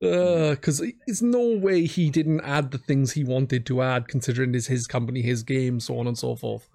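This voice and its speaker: Casual male podcast host, mid-pitched. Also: normal speed, vocal fry, mellow.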